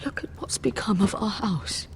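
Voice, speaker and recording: A woman's voice: moderate pace, lightly acted. A woman playing a distraught, reproachful noble mother in a fantasy video game drama. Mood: anguished reproach. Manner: reproachful, pleading, formal. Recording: long hall reverb, clear background rumble.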